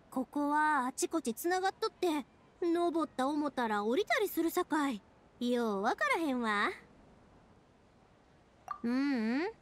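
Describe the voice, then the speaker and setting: A woman's voice: high voice, sing-song, slow, long pauses, heavily acted. A woman playing a cheerful, chatty young fireworks maker in a video game, speaking expressively with a Kansai accent. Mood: chatty, thoughtful. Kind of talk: animated video game voice acting.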